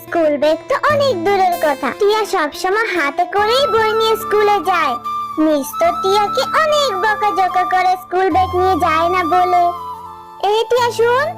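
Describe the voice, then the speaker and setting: A woman's voice: very high-pitched, expressive, normal speed, over loud music. A woman voicing a snooty young animal schoolgirl in a children's cartoon, bragging and taunting in a childlike manner.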